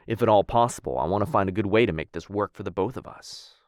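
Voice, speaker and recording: A man's voice: on the low side, fast talker, no room sound, studio quality, close to an everyday voice. A man playing a menacing yet lovestruck assassin in a boyfriend ASMR roleplay, speaking intimately and teasingly in formal, old-fashioned phrases. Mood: tender, conflicted. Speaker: voice actor.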